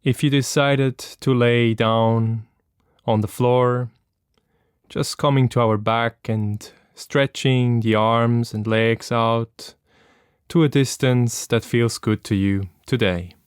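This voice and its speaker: A man leading a guided meditation as an instructor, speaking calmly and gently with long, unhurried pauses. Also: mid-range voice, clear, resonant tone, dry recording, studio quality.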